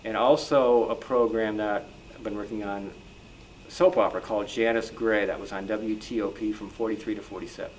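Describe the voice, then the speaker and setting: Male history researcher, slightly low voice, informal panel talk, noisy live recording. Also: steady tone, fairly quick. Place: club meeting room. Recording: clearly noisy live room.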